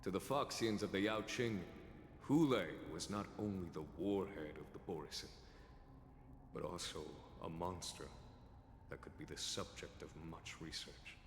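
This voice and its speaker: A man's voice: slight background noise, lightly acted. A man playing a composed, commanding general in a video game, with solemn delivery and echoing sound. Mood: grave, measured. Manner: measured, solemn exposition, booming battle cries. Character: composed male general warrior, grave, commanding in battle. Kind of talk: scripted video game voice acting. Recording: echoey hall reverb, music underneath.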